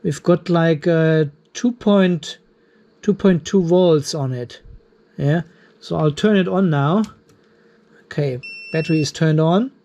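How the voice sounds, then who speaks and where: A man with a high, light voice talks casually to camera while reviewing equipment. He thinks aloud, restarts sentences and corrects himself as he goes. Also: slow, long pauses, mellow, muffled recording. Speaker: channel host reviewing a home battery. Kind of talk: casual unscripted vlog.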